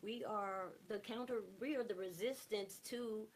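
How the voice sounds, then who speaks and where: A woman's voice: medium pitch, measured pace. A woman talking with impassioned emphasis as a guest in a panel interview, often repeating phrases to drive a point home. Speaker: stage play director, interview guest.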